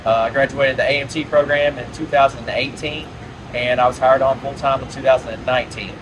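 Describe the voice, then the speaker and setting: A young man from Kentucky speaks at a mid pitch, relaxed, with a few hesitant fillers. The mic is at a distance, and clear factory noise surrounds him. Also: fairly quick. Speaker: team leader. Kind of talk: scripted testimonial. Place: manufacturing plant.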